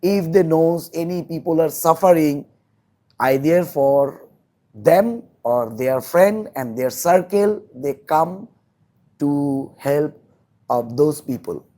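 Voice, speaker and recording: Slow-talking Bangladeshi man, earnest and halting. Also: on the high side, expressive, mellow. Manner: halting, repeats phrases, earnest. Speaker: interview guest, volunteer social worker. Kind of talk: earnest interview talk in non-native English.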